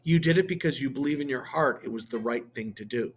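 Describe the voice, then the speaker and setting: A man reading a novel aloud, voicing a middle-aged mother who speaks in a quiet, measured, reproachful tone. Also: mid-range voice, a little hiss or hum, close to an everyday voice. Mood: tender.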